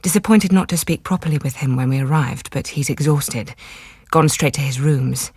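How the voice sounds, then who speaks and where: A woman's voice: sits low, moderate pace, darkish tone, husky, no echo. An actress playing an earnest, newly qualified young woman psychiatrist in a radio drama, polite and well-spoken. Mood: reflective. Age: young adult.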